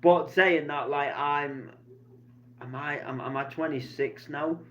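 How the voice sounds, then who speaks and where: A man's voice: on the high side, fairly even intonation, slow, a bit bright. A man, rambling casually through a weekend vlog with plenty of fillers and restarts. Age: 20s. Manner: chatty, meandering, many fillers and restarts. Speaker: DJ vlogger. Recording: slightly dull lo-fi sound.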